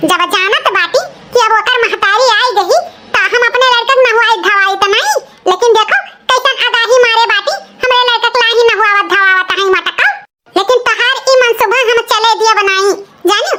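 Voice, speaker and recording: A man's voice: extremely high pitch, normal speed, bright, forceful tone, slight background noise, heavily acted. A man voicing a vain, jealous young village woman in a comic cartoon, with an Awadhi accent and a nagging, taunting manner. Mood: haughty, scolding. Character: vain, jealous, scolding young village sister-in-law, a mother.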